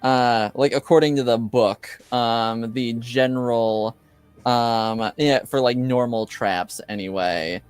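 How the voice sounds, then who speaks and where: Male game master, halting and unhurried delivery, clean resonant tone, faint music underneath. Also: mid-range voice, steady tone, smooth, no echo. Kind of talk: running a tabletop roleplaying game.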